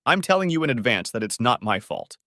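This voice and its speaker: A man acts out a sharp-tongued, flirty woman in an audio story. The delivery is cheeky and playful, with lively jumps in pitch. It is recorded dry, without room sound. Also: a little fast, no echo.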